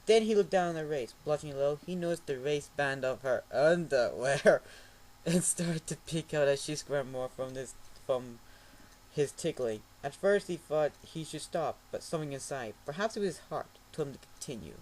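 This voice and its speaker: A man's voice: fairly high pitch, lively intonation, normal speed, slight background noise, low-quality recording. Man doing a joking dramatic reading. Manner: ironic asides, laughing, shouted outbursts. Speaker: reader. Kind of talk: mocking amateur dramatic reading.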